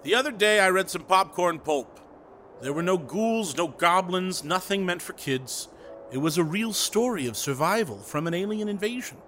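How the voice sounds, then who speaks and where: An actor playing a brash, excitable, stubborn radio director in an audio drama, speaking with grand theatrical emphasis. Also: male voice, high voice, moderate pace, a little hiss or hum. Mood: excited, visionary. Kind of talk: audio drama acting set in 1930s radio world.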